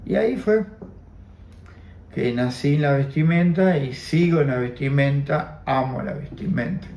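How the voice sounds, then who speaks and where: Argentine guy in his 70s, armenian first language, slow thoughtful testimony, kinda roomy echo. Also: mid-range voice, a little mellow. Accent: Argentine Spanish. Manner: slow, reflective, repeats phrases. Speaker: interviewee. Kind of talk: oral history testimony.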